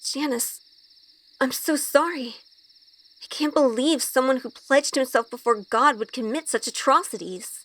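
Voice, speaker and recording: Woman as an earnest, innocent young girl, higher-pitched. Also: a little slow, a bit bright. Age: young adult. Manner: earnest, polite, timid. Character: innocent, anxious good girl newly among witches. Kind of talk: audio drama acting with first-person narration.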